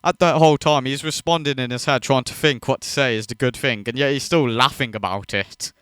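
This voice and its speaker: A man's voice: mid-range voice, fairly quick, fairly bright tone, clean tone, dry recording, clean studio sound. A man hosting a reaction video, ranting in disbelief and swearing freely as he mocks what he watches. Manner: incredulous, profane, mocking.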